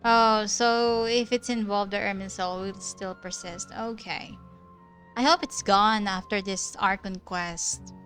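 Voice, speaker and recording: A woman's voice: medium pitch, fairly even intonation, a little slow, fairly bright tone, soft background music. A woman streamer giving casual commentary while playing a story game, chatty and thinking aloud about the plot. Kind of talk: casual commentary over gameplay.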